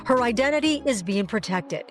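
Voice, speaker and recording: A woman's voice: fairly high pitch, animated, normal speed, faint music behind. A woman reporter reading scripted broadcast news narration.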